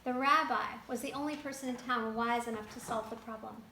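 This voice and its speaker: A woman reading a folktale aloud to a child, lively and stopping to ask the listener questions. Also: on the high side, brisk, reverberant, slight background noise. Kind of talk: reading a picture book to a child.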